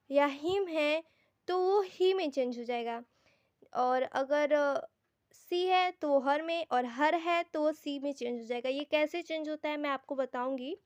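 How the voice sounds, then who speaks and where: High-pitched Indian woman teaching. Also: measured pace, a little mellow, dry recording. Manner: explanatory, addresses viewers directly, mixes Hindi and English. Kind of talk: informal grammar lesson for online students.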